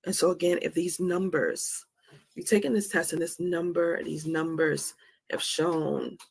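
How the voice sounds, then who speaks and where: Female, forties, heavily creaky and husky. Candid motivational speaker, leans on repetition for emphasis. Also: sits low, fairly even intonation, measured pace, low-quality recording. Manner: emphatic, repeats phrases for effect. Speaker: host of a live talk on anxiety.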